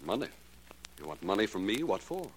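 An actor playing an arrogant, hot-tempered astronomer who blusters indignantly in a 1940s radio drama. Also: male voice, lowish voice, big pitch swings, a little fast. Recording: very noisy, clicky 1940s radio recording.

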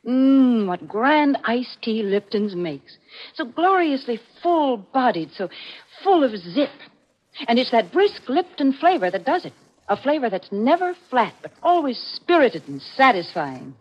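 Female 1940s radio pitchwoman, highish and very melodic, polished emphatic sell. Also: big pitch swings, unhurried. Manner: emphatic, polished sales delivery. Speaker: commercial announcer. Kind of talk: scripted 1940s radio advertisement. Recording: muffled vintage radio recording.

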